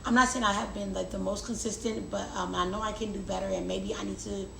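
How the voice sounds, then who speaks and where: A woman's voice: medium pitch, steady tone, fast talker, a bit bright. A young adult woman vlogger chatting casually and confidingly to her viewers, often repeating words for emphasis. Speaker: lifestyle vlogger. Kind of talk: casual confiding chat to camera. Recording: roomy echo, clear background noise.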